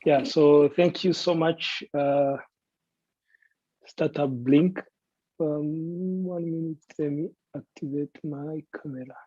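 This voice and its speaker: A man giving a semi-prepared guest presentation in a deliberate, polite way. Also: on the high side, fairly even intonation, dark tone, no echo. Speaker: guest presenter pitching a city. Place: online video call. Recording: dull, lo-fi online call audio.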